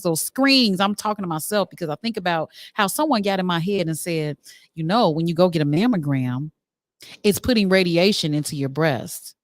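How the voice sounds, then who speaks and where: A woman's voice: lowish voice, no echo. A middle-aged woman hosting a live show in a casual, chatty way, talking directly to her viewers. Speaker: talk show host.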